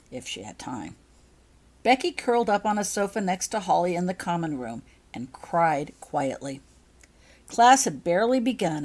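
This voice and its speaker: A woman narrates a story in a somewhat low voice that moves widely up and down. She reads from a script like an audiobook, with expressive delivery. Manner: expressive, acts out dialogue. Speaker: author reading her own fan fiction.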